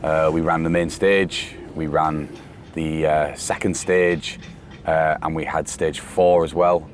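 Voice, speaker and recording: A man from the UK chatting casually on a podcast, rambling with fillers and restarts, his voice worn from shouting. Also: very deep voice, little pitch movement, slow pace. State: voice going from shouting. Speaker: podcast host, security company boss. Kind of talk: casual chat. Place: outdoors on a festival site during breakdown. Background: faint site noise and vehicles.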